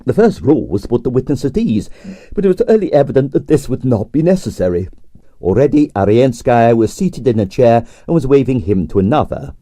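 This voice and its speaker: Male audiobook narrator, wry dry delivery, mellow tone, close dry recording. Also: mid-range voice, big pitch swings, brisk, no room sound. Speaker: audiobook reader. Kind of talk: scripted narration.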